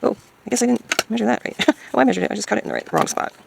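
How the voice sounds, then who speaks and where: Hoarse, very creaky woman crafting. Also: a little high, rapid-fire, slight background noise. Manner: hesitant, musing to self. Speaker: craft video maker. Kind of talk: casual crafting chat, thinking aloud.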